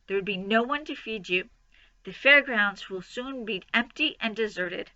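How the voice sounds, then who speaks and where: A woman voicing a wise, gentle female spider in a storybook reading, low and quiet, steady. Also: normal speed, highs cut off, natural voice. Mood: firm. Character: calm, wise female spider, weak and dying.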